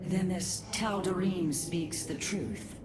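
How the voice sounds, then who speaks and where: An actress playing a proud, wary alien matriarch in a video game, speaking in a stern, formal, guarded way. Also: female voice, lowish voice, slow pace, very noisy. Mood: suspicious. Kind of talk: video game cinematic acting. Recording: echoing voice under loud music.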